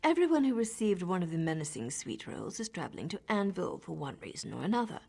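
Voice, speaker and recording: An actress playing a cool, sardonic female elf assassin in a video game, with a husky voice and a dry, confident, often hushed delivery. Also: lowish voice, sing-song, fast talker, soft background music, heavily acted.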